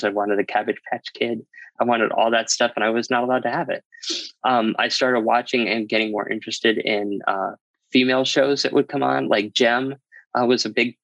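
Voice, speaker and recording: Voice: medium pitch, fairly bright tone, no room sound, lo-fi sound. Candid interview guest with a dry, close sound. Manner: explanatory, matter-of-fact. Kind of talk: candid personal storytelling in an interview.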